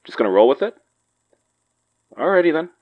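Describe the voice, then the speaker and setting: A man's voice: on the high side, sing-song, a little fast, narrow, tinny audio. An actor playing a baffled, sarcastic young everyman with an incredulous way of talking, in a naturalistic audio drama. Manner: incredulous, wisecracking.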